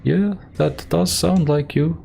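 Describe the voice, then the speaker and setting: A man's voice: fairly high pitch, fairly even intonation, slow, creaky, dark tone, soft background music, voice pushed up, heavily acted. A man voicing a defiant woman warrior who pleads with and scolds an old comrade, raising his voice well above his own. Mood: pleading.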